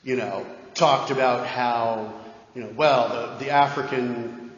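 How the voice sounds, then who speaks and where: Chatty priest in an echoey hall. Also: male voice, medium pitch, fairly even intonation, measured pace. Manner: conversational, keeps checking in with "right?". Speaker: parish priest answering questions. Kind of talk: casual Q&A talk. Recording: echoey dull church hall recording.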